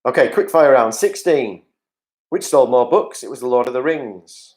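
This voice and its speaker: A man's voice: medium pitch, animated, moderate pace, darkish tone. Guy running a virtual pub quiz, reads answers out, cracks jokes, video call sound. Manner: jokey, chatty asides to players, reads questions aloud. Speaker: quiz host.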